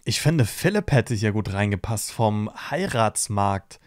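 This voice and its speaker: A man's voice: lowish voice, lively intonation, a little slow, no room sound, studio quality. A young man casually commenting on a reality show for his viewers, chatty and talking to them as friends, at a desk microphone at home. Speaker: reaction streamer. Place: home streaming room. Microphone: desk microphone on arm.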